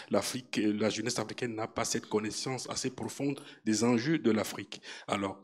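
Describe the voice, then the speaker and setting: A man asking a measured, formal question from the audience at a conference. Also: mid-range voice.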